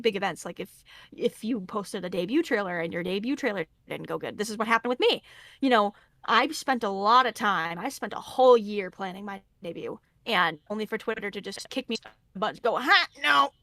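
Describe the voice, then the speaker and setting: A woman's voice: medium pitch, animated, a little fast, pushed and bright, no room sound, clean studio sound. A woman guest talking casually and earnestly, giving advice on content creation and laughing easily. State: losing her voice. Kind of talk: casual podcast conversation.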